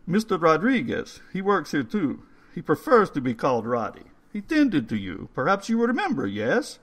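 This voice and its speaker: A man voicing a calm, courteous old male undertaker who speaks in formal, slightly stilted phrases. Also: fairly high pitch, normal speed, husky, voice pushed up, exaggerated voice. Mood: amused, insistent.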